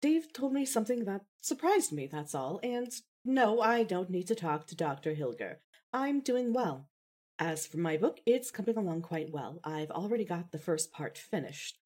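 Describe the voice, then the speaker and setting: A woman's voice: on the low side, big pitch swings, normal speed, a little mellow. A woman playing a composed, articulate adult daughter, reserved but fond, in a fan fiction audio drama. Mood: reassuring, composed.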